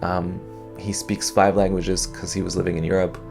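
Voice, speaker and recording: A man's voice: deep voice, little pitch movement, moderate pace, gravelly, music in the background. A young man from Canada speaking in a thoughtful, hesitant way, with many fillers and restarts, as he reflects on personal experience. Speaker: podcast host.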